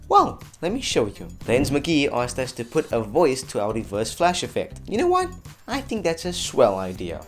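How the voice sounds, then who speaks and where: Chatty guy doing upbeat tutorial narration, normal pitch, bit of music behind. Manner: chatty, addresses viewers as guys. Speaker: host of an effects tutorial channel. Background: background music.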